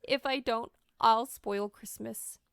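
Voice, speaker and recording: Woman voicing an earnest, tearful little boy, higher-pitched. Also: steady tone, slow, gravelly, fairly bright tone, clean tone, dry recording, studio quality, full character voice. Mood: tearful, resolute. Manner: pleading, sniffly, choked delivery.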